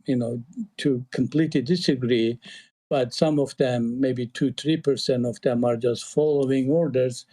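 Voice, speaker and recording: A man from eastern Turkey speaking English with a Turkish accent, talking in a casual panel discussion, halting often with fillers and restarts. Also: mid-range voice, warm tone. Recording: online audio call.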